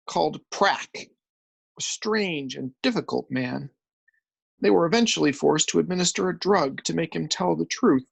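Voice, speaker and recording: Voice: medium pitch, vocal fry, slightly rough, no echo, voice pushed up, heavily acted. A narrator voicing a frantic, weeping old male journalist who whimpers and raves.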